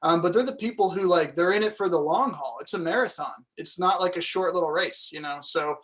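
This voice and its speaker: A man's voice: high voice, a little fast, fairly bright tone. A man on a panel giving casual, motivational advice on a video call, emphatic and checking in with listeners.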